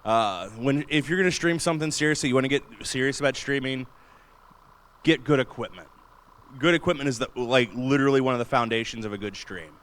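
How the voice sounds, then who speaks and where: Guy with a big booming voice, chatty and excited, talking at a con panel in a big room. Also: a little fast. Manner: enthusiastic, chatty, laughs often. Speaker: convention panelist. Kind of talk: casual panel talk on streaming gear. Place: large convention panel room.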